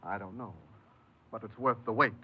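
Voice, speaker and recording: An actor playing a firm, patient parole official in a 1950s American radio drama, measured and reasoning with authority. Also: male voice, lowish voice, lightly acted. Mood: firm, reassuring. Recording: muffled old radio recording.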